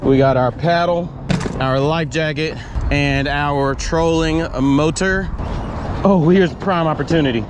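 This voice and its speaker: A young man narrating his own fishing trip in a relaxed, slangy way, talking to a camera on his boat. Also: on the high side, measured pace, background noise. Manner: laid-back, slangy, talks to camera while working. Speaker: fishing vlogger. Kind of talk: casual outdoor vlog narration. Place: outdoors at a boat ramp and on open water.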